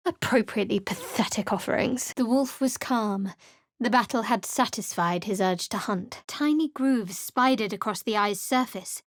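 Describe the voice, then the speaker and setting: Woman voicing a secretive young female cleric, dry sardonic delivery, high voice, close dead studio sound. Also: moderate pace, no room sound, close to an everyday voice. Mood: wry. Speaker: game companion. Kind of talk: studio game voice lines. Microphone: close studio mic.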